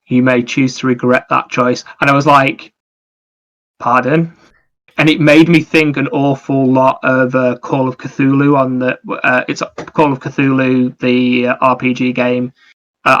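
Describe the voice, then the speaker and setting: A man's voice: mid-range voice, a bit bright, dull audio. A man chatting casually about video games as a podcast host, in a rambling, anecdotal way.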